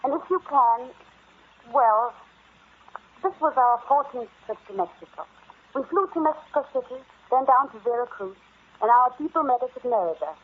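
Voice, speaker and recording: An actress in an old-time radio drama playing a serious, frightened explorer's wife, speaking in an earnest, pleading, dramatic way. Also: female voice, on the high side, lively intonation, moderate pace, slightly rough. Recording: tinny, phone-like vintage radio recording.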